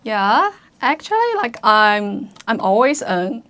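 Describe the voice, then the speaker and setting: Slow, gravelly-voiced young Chinese woman. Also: a little high, very animated, sharp tone. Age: young adult. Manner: hesitant, many restarts and fillers, laughs often. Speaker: guest. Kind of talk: casual interview chat.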